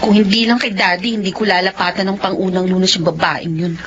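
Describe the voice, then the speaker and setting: A woman's voice: medium pitch, fairly even intonation, quick pace, close to an everyday voice. An actress playing a young woman doctor, a bitter and emotional daughter with a tearful, resentful manner. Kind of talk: radio drama acting. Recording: dull lo-fi with faint music.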